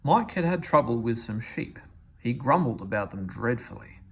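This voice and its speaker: A man's voice: medium pitch, big pitch swings, normal speed, a little hiss or hum. A man reading a children's story aloud as a scripted narration.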